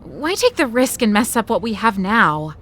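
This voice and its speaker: A woman playing an anxious, overthinking woman with a fretful, deliberate manner in an audio drama. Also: a little high, sing-song, fairly bright tone. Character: anxious, overthinking woman hesitant about marriage.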